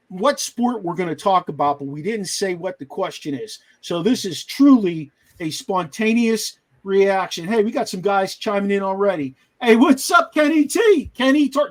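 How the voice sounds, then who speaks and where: A man with a Pittsburgh accent hosting a casual sports podcast, loud and joking, swearing freely. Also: sits high. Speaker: podcast host.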